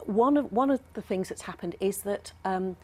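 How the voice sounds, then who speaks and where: A woman's voice: medium pitch, normal speed, darkish tone. A woman speaking as an expert in a studio interview, explaining things thoughtfully with some hesitation. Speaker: academic expert guest, professor.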